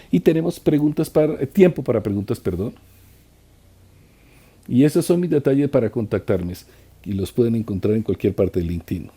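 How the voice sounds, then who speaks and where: A man interpreting a conference talk live, in a halting way with restarts and trailing phrases. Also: fairly low pitch, mellow, slightly rough.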